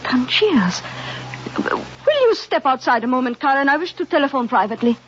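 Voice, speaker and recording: This is an imperious, fussy lady of the house who snaps out orders to her maid. Her voice is high and swoops widely, and the sound is muffled vintage radio. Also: big pitch swings, normal speed, slight background noise. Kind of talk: 1950s radio drama acting. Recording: dull old radio broadcast.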